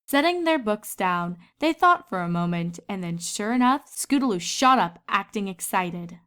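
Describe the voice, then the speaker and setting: A woman's voice: mid-range voice, animated, moderate pace, fairly bright tone, clear, resonant tone. A woman reading a story as scripted narration.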